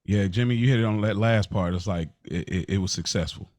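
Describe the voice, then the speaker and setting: A man's voice: lowish voice, fairly quick, darkish tone, no room sound. An American man giving opinions in a casual discussion, assertive and emphatic, repeating his key points. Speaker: podcast co-host.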